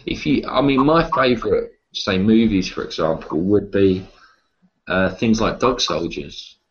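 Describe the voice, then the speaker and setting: Man, lowish voice, casual conversation, halting repetitions, chatty, slightly dull recording. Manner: chatty, halting, repeats words. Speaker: interview guest, horror writer and publisher. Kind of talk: casual conversation about horror films.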